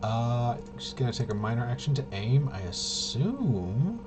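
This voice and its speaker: A man's voice: medium pitch, very animated, unhurried, background noise. A man giving casual play-by-play of a tabletop roleplaying game, muttering and thinking aloud as he goes. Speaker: solo tabletop game player and host.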